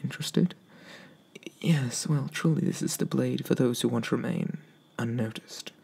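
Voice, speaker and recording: A man speaking softly in a breathy whisper close to the microphone, slow and courteous, roleplaying an eager weapons merchant for ASMR. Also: medium pitch. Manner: slow, hesitant, courteous sales patter. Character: polite, eager male weapons merchant obsessed with swords.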